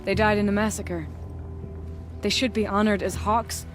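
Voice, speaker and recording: A proud young huntress of noble birth, played by a woman, speaking with formal, unbending resolve as in a cinematic fantasy game. Her voice sits at a middle pitch with music behind it. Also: fairly even intonation, moderate pace, close to an everyday voice. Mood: solemn, bitter. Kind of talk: cinematic video game acting.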